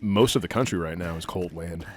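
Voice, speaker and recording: A man's voice: deep voice, a little fast. A man in his thirties from California, chatting loosely while playing a game, with plenty of fillers and casual swearing. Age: 30s. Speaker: co-host playing a video game. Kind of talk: casual chat while gaming.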